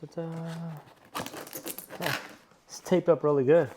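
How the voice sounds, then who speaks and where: Man, slightly high voice, sniffly from a cold, chatty and excitable, rambling vlog talk. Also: unhurried, noisy background. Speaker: car vlogger. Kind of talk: casual unboxing vlog.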